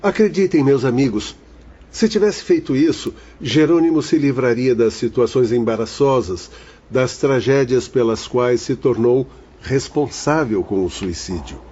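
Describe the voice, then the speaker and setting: A husky, mellow, devout counsellor. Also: male voice, mid-range voice, a little slow, lo-fi sound. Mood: grave, moralizing. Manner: formal, earnest, moralizing. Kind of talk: audio drama acting.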